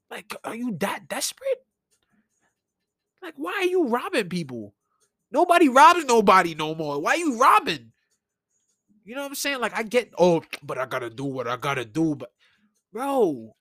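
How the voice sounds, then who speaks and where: A man from New York City with a Brooklyn accent, ranting and telling stories casually in an animated, slangy way, as a solo podcast host. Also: high-pitched, no echo, studio quality. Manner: animated, informal, slangy, acts out conversations. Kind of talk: casual solo rant and storytelling.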